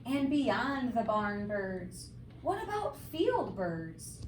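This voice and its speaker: Woman reading to kids, slow and lively. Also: fairly high pitch. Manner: sing-song, emphatic phrasing addressed to young children. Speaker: teacher reading a storybook aloud. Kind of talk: expressive children's read-aloud with simple asides. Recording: roomy, distant mic, faint background noise.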